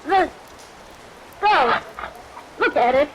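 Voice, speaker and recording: A woman's voice: sits high, lively intonation, drawn-out words, full character voice. An actress playing a cold, scheming, commanding woman who speaks in clipped, insistent questions, in vintage radio thriller style. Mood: frightened. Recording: vintage radio recording, hiss, rain behind.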